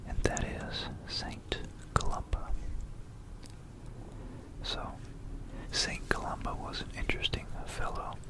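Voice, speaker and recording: An ASMR host whispering an educational history talk in an unhurried, explanatory manner, close to a stereo microphone. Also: poor audio. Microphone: close stereo binaural microphone.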